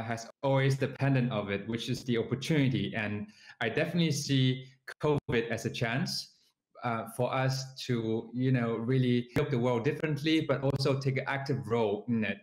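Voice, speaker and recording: A man from southern China speaks English with a Chinese accent as an entrepreneur guest. He talks hesitantly, with many fillers and restarts, at a middle pitch. The room sounds echoey. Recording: roomy sound.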